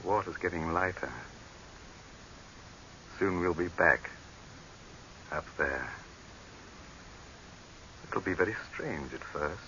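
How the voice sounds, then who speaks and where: An actor playing a proud, tyrannical dictator in a theatrical 1930s radio drama style, declamatory and imperious. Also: male voice, deep voice, slow pace, pauses often, sharp tone, dull audio, heavily acted. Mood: subdued. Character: tyrannical, proud male dictator, cynical and desperate. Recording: hissy, band-limited 1930s radio recording.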